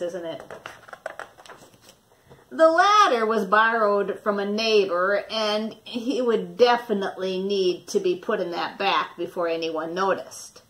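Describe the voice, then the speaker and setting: A woman reading a children's picture book aloud expressively, with dramatic pauses and questions for young listeners, like a teacher. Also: medium pitch, a bit bright.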